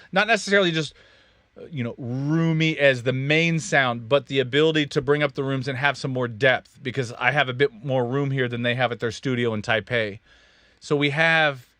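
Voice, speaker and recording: A man's voice: medium pitch, fairly bright tone, no echo. Midwestern man, studio engineer, casual tech chat, self-correcting, dry close mic. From Kansas, USA. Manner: rambling, self-correcting, jokey. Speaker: recording studio owner and livestream host. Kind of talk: casual technical livestream chat. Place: recording studio control room.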